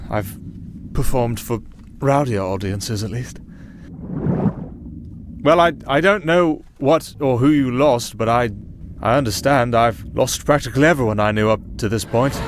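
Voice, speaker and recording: Castaway sailor-singer for fantasy audio roleplay, male actor. Medium male pitch, wry and faintly sad, halting asides, noticeable background ambience. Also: lightly acted. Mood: reluctant, wry. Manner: hesitant, dryly sardonic, chatty. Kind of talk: ASMR roleplay monologue. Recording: clearly audible ambient noise under voice.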